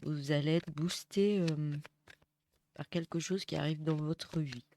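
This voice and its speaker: A woman with a cold and a hoarse voice, giving a casual tarot reading with many hesitations and restarts. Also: sits low, fairly even intonation, unhurried, mellow, a little hiss or hum. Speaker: tarot reader. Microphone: close mic. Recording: dry close mic.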